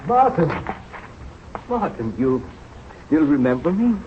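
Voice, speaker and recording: An actor playing a weary old scientist and mentor, speaking in halting, formal phrases in a radio drama. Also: male voice, fairly high pitch, big pitch swings. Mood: warm, wistful. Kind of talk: 1930s radio drama acting. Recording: muffled, noisy old radio recording.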